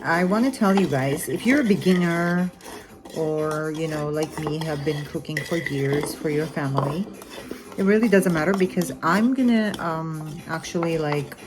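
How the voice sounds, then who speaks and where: Chatty Armenian-accented woman, low voice. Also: a little slow. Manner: chatty, rambling, self-correcting with fillers. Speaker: cooking vlogger. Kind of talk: casual cooking vlog. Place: home kitchen. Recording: loud background music.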